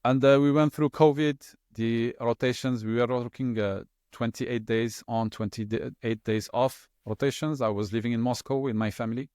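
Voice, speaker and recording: A middle-aged man whose first language is French, speaking as an interview guest in a relaxed, hesitant way with many fillers and restarts. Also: mid-range voice, moderate pace, hardly any pauses, no room sound, clean studio sound. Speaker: interview guest, LNG specialist. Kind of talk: conversational interview.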